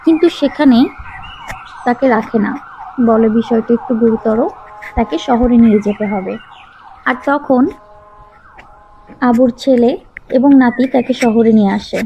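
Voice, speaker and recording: A woman's voice: on the high side, measured pace, long pauses, slight background noise. A woman narrating a children's story from a script. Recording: dull, lo-fi sound.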